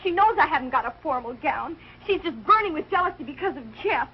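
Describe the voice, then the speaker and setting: An American teenage daughter, earnest and given to melodrama, in old sitcom style. Her girlish voice is high, and the television soundtrack is dull. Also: expressive, quick pace. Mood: distraught. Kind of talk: 1940s TV sitcom acting. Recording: muffled 1940s television soundtrack.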